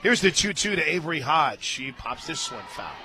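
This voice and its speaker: A man's voice: a little high, sing-song, normal speed, background noise. An American man working as a radio play-by-play announcer, calling a live game with conversational, folksy asides and emphatic delivery. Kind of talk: live sports commentary with chatty asides. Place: stadium radio booth.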